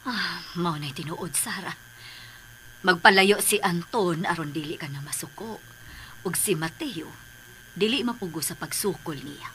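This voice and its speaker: A woman playing an earnest middle-aged mother in a radio drama, speaking with breathy emotional emphasis. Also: lowish voice, unhurried, very noisy, lightly acted. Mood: grave, confiding. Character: earnest, pleading middle-aged mother, protective of her sons.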